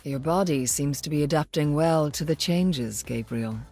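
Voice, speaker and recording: A coolly superior woman of science from a video game. Her voice is very low with a creaky edge, measured and probing, over faint music. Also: mellow. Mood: cool curiosity.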